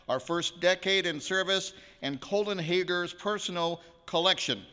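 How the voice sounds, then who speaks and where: A man's voice: sits high, bright, forceful tone, clean tone. A man in his sixties speaking as a radio presenter, introducing musicians and sharing personal anecdotes at a live concert. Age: 60s. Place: large ballroom, live on stage. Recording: echoey hall with long reverb.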